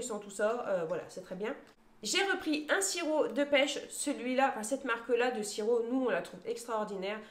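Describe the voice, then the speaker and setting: A woman's voice: on the high side, roomy sound. A woman in her thirties chatting casually to a phone camera at home, listing her grocery haul item by item with many hesitation fillers. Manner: chatty, lots of hesitation fillers, lists items one by one.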